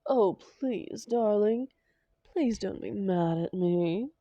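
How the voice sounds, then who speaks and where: A woman playing a doting, defensive supervillain lover in an intimate roleplay audio drama, coaxing theatrically with endearments and dramatic pauses. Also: medium pitch, warm tone, no room sound, dull audio, lightly acted. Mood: sheepish, coy.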